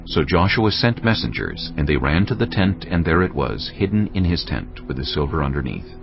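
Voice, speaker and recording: A man narrating a dramatized Bible as scripted storytelling, measured and dramatic. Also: rumbling low, big pitch swings, vocal fry, dull audio, music in the background.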